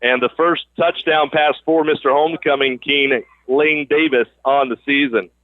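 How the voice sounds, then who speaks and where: A man doing live radio play-by-play of high school sports in a conversational announcer's style, on a broadcast mic. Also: fairly even intonation, normal speed, phone-quality sound. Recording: band-limited radio broadcast sound, gym or field noise.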